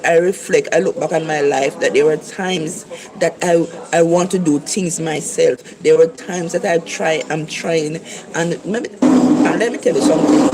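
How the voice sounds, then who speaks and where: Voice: mid-range voice, low-quality recording. Earnest Jamaican preacher, crowd behind. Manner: earnest, repetitive exhortation. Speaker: livestream preacher. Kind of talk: casual encouraging talk to livestream viewers.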